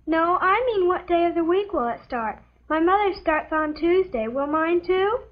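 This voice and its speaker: A young American schoolgirl in an old classroom film. Her voice is extremely high, and she asks things in a polite, naive, earnest way. The soundtrack is dull and lo-fi. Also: lively intonation, moderate pace. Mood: earnest curiosity. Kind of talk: scripted old educational film acting.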